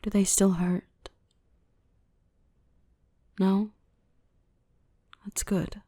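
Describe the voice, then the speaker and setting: A woman playing a gentle, protective dark elf mistress in a soothing, reassuring ASMR roleplay, often whispering. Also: medium pitch, little pitch movement, extremely slow, no room sound, clean studio sound. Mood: tender, reassuring.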